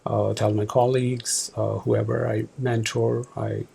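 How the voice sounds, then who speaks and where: A man in his forties from Pakistan, a department manager answering interview questions in an office, halting with many fillers and restarts. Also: fairly low pitch, level tone, gravelly, mellow, breathy edge.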